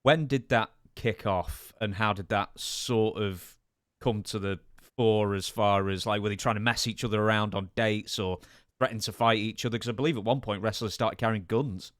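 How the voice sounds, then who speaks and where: Smooth, lower-pitched male podcast host. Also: fairly even intonation, moderate pace, fairly bright tone, no room sound, studio quality. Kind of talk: casual interview questioning.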